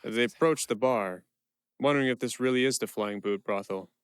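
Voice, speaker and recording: A man playing a quick-witted prisoner who narrates his own tale in a first-person audio play. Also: mid-range voice, clean tone, no echo, clean studio sound, lightly acted. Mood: relishing storyteller.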